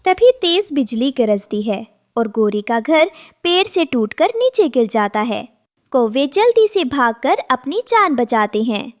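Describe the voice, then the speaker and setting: A woman's voice: high-pitched, very animated, unhurried, no room sound, studio quality. A woman narrating a scripted children's story as the storyteller.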